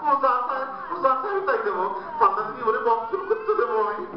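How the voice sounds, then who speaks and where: Echoey, high-voiced quarrelsome rustic husband. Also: male voice, sing-song, fast, crowd noise. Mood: wailing. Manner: rustic, colloquial, exclamatory bickering. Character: quarrelsome poor woodcutter husband, teasing and dramatic. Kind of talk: comic Bengali stage-style drama acting. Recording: distant, echoey, dull, noisy hall recording.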